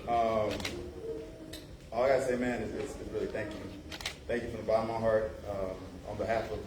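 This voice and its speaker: A man giving a casual, earnest farewell speech to a colleague at a ceremony, full of fillers like "man" and "dude", speaking without a mic. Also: fairly low pitch. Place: large room at a ceremony. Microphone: no mic, recorded from a distance. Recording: echoey room from a distance, with noise.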